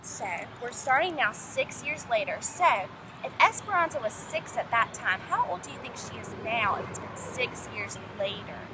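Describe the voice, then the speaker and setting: A woman's voice: fairly high pitch, expressive, moderate pace. A woman teacher reading a story aloud to children, speaking to her young listeners directly, recorded outdoors. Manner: addresses young listeners with questions and prompts. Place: outdoors in the open. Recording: noisy windy outdoor recording with traffic.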